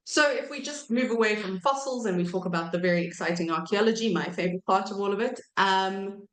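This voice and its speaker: A woman in her thirties giving an academic lecture while croaky from a cold, pausing often with ums and correcting herself. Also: medium pitch, fairly bright tone, roomy sound, rough recording. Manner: hesitant, many ums and self-corrections. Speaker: archaeology researcher giving a talk.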